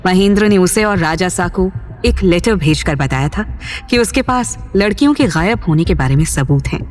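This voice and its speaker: Low-pitched female storyteller over music. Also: expressive, moderate pace, darkish tone. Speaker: audio story narrator. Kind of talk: dramatic scripted narration.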